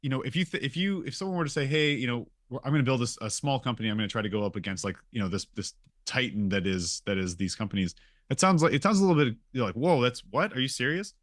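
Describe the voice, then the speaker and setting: A man's voice: mid-range voice, expressive, fast, no echo, studio quality. A man hosting a casual podcast, speaking informally, jumping in and acting out imagined quotes as he makes his point. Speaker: podcast host.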